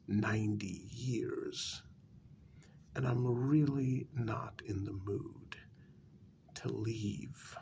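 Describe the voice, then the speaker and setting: Weary ancient male vampire, played by a man, gravelly soft ASMR delivery, polite menace, faint rumbling noise behind. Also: full character voice. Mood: measured, persuasive. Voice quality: breathy, half-whispered, gravelly. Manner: deliberate, halting, formally polite menace. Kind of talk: soft-spoken ASMR role-play.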